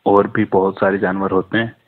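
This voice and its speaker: A man's voice: low voice, little pitch movement, moderate pace. A man chatting casually on a recorded phone call, joking and teasing.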